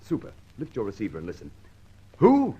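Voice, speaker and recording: Courteous detective inspector, British accent, dry manner, expressive intonation, muffled vintage radio drama. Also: male voice, on the low side, brisk, breathy edge, exaggerated voice. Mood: dry, probing. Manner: formal, deferential, dry. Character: polite, measured British police inspector, dryly courteous. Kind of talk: old-time radio detective acting.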